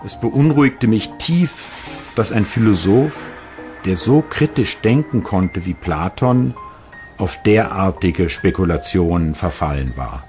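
A thoughtful physicist recalling his youth in a documentary radio play. The delivery is measured and literary, in a fairly low male voice. Music plays clearly behind him. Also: lively intonation, a little mellow. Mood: reflective. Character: young physicist reading his reflective memoir aloud. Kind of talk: scripted first-person memoir reading.

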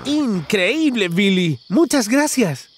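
A man's voice: sits high, big pitch swings, slow pace, background noise. A man narrating a children's cartoon in an exaggerated, singsong way, talking to the characters.

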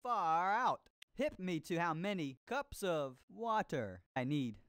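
A groovy seventies disco cooking show host for a cartoon game. He is hammy and upbeat, stretching his words slowly and swinging through wide pitch swoops. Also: big pitch swings, over music. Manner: hammy, swinging, drawn-out delivery. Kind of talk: cartoon game voice-over with 1970s disco slang.

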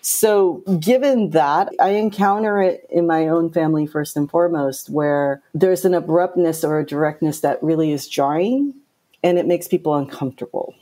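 A middle-aged woman from Japan, a game producer talking in an interview, direct and outspoken with lively storytelling. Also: sits low, moderate pace. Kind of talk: casual interview talk.

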